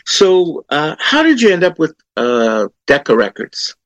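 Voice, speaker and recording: A man hosting an interview, asking questions in a chatty, animated way. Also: on the high side, a little slow, dry recording. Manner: chatty, animated, laughing asides. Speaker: interview host.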